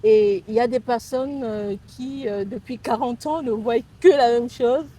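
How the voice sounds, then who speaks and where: A woman in her twenties with a Cameroonian accent, hosting a conversational interview in a halting, searching way. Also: fairly high pitch, dark tone. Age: 20s. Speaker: interviewer and host. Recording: some background ambient noise.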